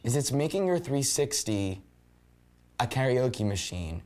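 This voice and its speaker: A man's voice: mid-range voice, very animated, unhurried, darkish tone. A man talking casually and enthusiastically as a video game reviewer on a panel show. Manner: enthusiastic, exclamatory.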